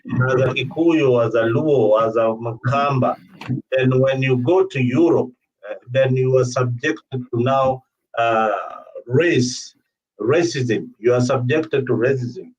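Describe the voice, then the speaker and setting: A man's voice: mid-range voice, takes it slowly, a little mellow, breathy edge. A man leads a political discussion in an emphatic, repetitive way. His voice sits in the middle of the male range and comes through muffled, a little away from the mic, as on a livestream call. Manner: emphatic, repeats phrases. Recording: dull, distant livestream call audio.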